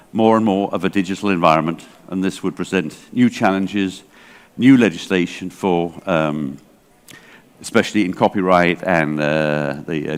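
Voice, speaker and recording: A man in his sixties with an English accent, giving a chatty, digressive conference talk full of fillers. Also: deep voice. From England. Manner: chatty, digressive, self-deprecating, many fillers. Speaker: library association director. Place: large hall. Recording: long hall reverb.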